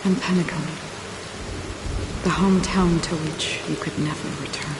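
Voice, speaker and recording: An actress voicing a solemn female watcher who guides lost souls, speaking in a calm, measured way and softly whispering at times. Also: low voice. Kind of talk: game cutscene voice acting. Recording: rain noise behind the voice.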